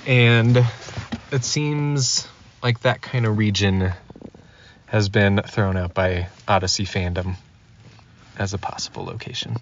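Lowish-voiced man, casual guest. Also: animated, slow pace, pauses often. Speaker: podcast guest. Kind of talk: casual chat. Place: inside a car. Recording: dull lo-fi, faint noise.

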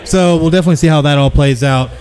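A man's voice: on the high side, very fast, a little mellow, clean tone, soft background music. A man in his thirties hosting a live sports podcast from a taproom, upbeat and joking as he plugs the venue. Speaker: podcast host. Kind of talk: casual sports talk show. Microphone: stand mic with pop filter.

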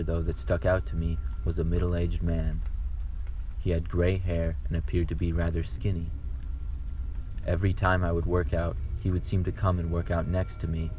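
Deep, flat-voiced man narrating horror. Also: a little hiss or hum. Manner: calm, steady first-person reading. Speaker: narrator reading submitted stories. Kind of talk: scripted horror story narration.

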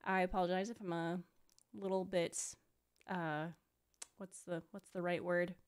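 A woman's voice: lowish voice, little pitch movement, measured pace, clean tone. A tired young woman with a slight lisp, chatting casually as she streams a reaction at a close desk microphone. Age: young adult. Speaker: streamer reacting to game trailers. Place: small room at home. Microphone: close desk microphone with headset.

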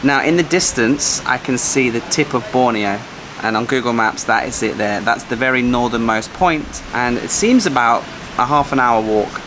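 A man's voice: medium pitch, normal speed, noisy background. A man narrating his travel vlog in an easygoing, conversational way, partly as a voice-over and partly talking to camera. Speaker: travel vlogger. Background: guitar music.

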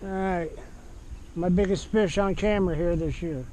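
A man's voice: high voice, slight background noise, low-quality recording. A man hosting a fishing vlog, chatting casually on a pond bank with lots of fillers, recorded on a head-mounted camera. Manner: relaxed, halting with fillers. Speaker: fishing channel host. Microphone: head-mounted action camera. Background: birds and outdoor sounds.

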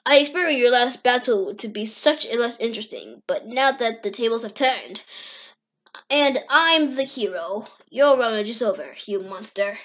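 Woman playing a pompous gloating mad-scientist villain, high-voiced. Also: expressive, moderate pace, fairly bright tone, dull audio. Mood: smug mockery. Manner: pompous, grandiose. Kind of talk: amateur comic dub.

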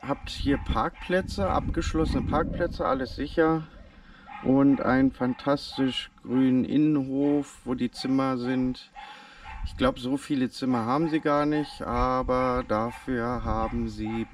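A relaxed man talks his viewers through a road trip stop in an unhurried, chatty way. He sits at a distance from the microphone, with faint outdoor noise underneath. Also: medium pitch. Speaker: travel vlogger. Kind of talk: casual travel vlog narration.

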